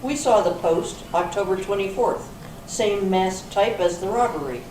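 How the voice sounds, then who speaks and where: A woman's voice: medium pitch, normal speed, a little mellow, natural voice. A woman playing a skeptical police interrogator in an amateur readers theater reading, formal and clipped. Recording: echoey hall, distant mic, faint noise.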